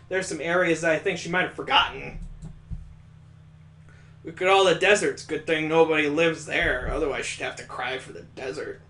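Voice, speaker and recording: A man's voice: on the high side, fairly bright tone. A man playing a story game, reading its text aloud and breaking in with wry, mocking asides. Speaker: let's play host.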